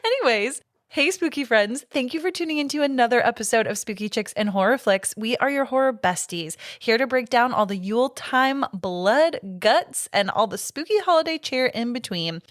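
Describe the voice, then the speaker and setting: A woman's voice: fairly high pitch, animated, normal speed, no room sound, studio quality. A young woman co-hosting a podcast, chatting casually, laughing often and swearing freely. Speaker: podcast co-host. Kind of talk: casual chat.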